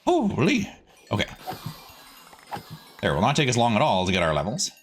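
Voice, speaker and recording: Animated man chatting through gameplay. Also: fairly low pitch, moderate pace, husky. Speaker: host, streaming gamer. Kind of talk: casual gameplay commentary.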